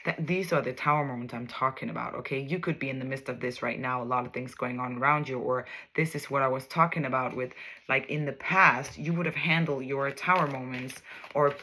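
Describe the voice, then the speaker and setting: A woman with a Danish accent gives a loose, chatty tarot reading, her voice bright and forward. She wanders through her thoughts, hunts for the right words and keeps checking in with her listeners with a quick "okay?". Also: rumbling low. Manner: rambling, searches for words, keeps asking "okay?". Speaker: tarot reader. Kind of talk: casual spoken tarot reading.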